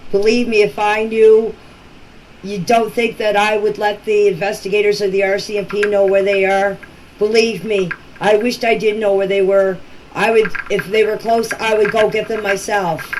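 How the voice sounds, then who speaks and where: A middle-aged or older woman speaking plainly and insistently in informal voice messages, often repeating herself. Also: mid-range voice. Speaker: local resident answering questions in a group chat. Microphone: voice messages recorded for a group chat. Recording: noisy amateur voice-message clips.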